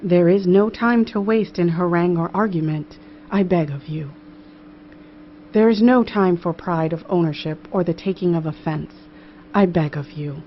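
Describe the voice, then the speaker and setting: A woman's voice: on the low side, normal speed, close to an everyday voice. Muffled, noisy recording of a woman as an earnest queen. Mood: urgent, pleading. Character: earnest, gracious young queen addressing her council with dry humor. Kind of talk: formal oratory in an audiobook. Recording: muffled audio with clear background noise.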